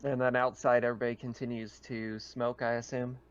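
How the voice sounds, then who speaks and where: A man casually narrating a tabletop roleplaying game as game master, describing scenes in a halting, improvised way. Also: medium pitch, level tone, clean tone, muffled recording.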